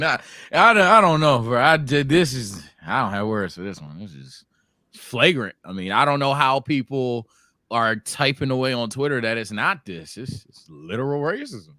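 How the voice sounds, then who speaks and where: Man muttering low asides, dry sound. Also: pushed and bright, no echo. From Washington, D.C. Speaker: podcast co-host. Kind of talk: casual group banter.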